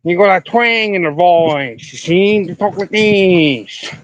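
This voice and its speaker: A man's voice: sits high, expressive, slow pace, dry recording, lo-fi sound. A Scottish man chatting to viewers on a casual live stream outdoors by a river, rambling as he talks into his phone. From Scotland. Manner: rambling, chatty, talking to viewers while walking.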